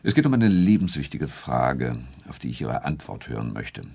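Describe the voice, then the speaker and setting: An actor playing a formal, sardonic, authoritative state premier in a radio drama. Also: male voice, very deep voice, very animated, moderate pace, vocal fry, a little mellow, full character voice. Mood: grave. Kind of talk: German radio drama acting.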